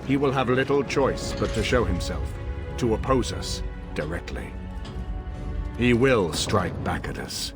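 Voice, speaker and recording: An actor playing a dignified, resolute older nobleman in a fantasy game, speaking in a measured, formal and solemn way. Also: on the low side, animated, over music. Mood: solemn, determined. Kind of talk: dramatic fantasy game cutscene acting.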